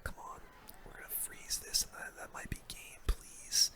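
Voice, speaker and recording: A video game streamer giving casual ASMR gameplay commentary in a soft, breathy whisper, with relaxed gamer slang. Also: moderate pace. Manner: casual gamer slang, murmured reactions to play.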